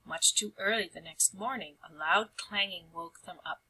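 A woman reading a children's storybook aloud in an expressive, animated and carefully phrased narration. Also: fairly low pitch, normal speed, husky, rough recording. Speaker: storybook reader.

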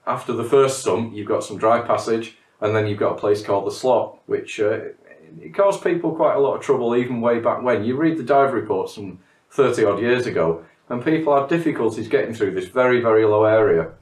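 A man's voice: mid-range voice, brisk. A middle-aged man, a cave diver, talking in an interview about diving and often repeating words as he starts a phrase. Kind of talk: documentary interview. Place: living room. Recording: roomy, from a distance.

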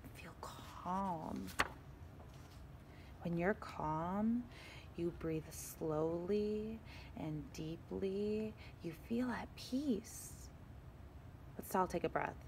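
A woman teacher reading a picture book aloud to young children in an expressive way, often turning to them with asides and questions. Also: fairly low pitch, background noise. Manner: expressive, slow and deliberate, addressing young children.

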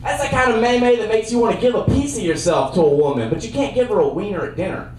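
A young man doing a stand-up comedy set with a handheld mic on a theater stage, trading dry one-liners for sudden loud bursts. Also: high-pitched, animated, quick pace, a little hiss or hum. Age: young adult. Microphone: handheld mic on a cord. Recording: roomy sound from a far camera.

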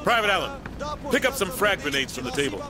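A brash American sergeant, instructs recruits in a military game. His voice sits high for a man, and he projects blunt, no-nonsense commands to the group. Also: big pitch swings, quick pace. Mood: commanding, blunt. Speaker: drill instructor. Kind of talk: military game tutorial dialogue.

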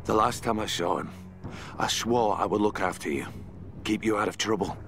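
Weary fatherly older man for a game: low voice, earnest, tender, measured, clear music behind. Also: fairly bright tone. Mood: tender, regretful. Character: gruff, fatherly older male mentor, devoted and weary. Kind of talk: video game audio letter.

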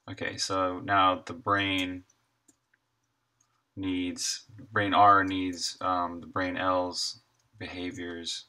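A man in his thirties with a deep, crisp-edged, bright voice. He talks casually to himself as he works, thinking aloud in short, broken-up phrases like a developer on a live stream. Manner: half to himself, in short fragments. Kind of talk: casual think-aloud commentary while working.

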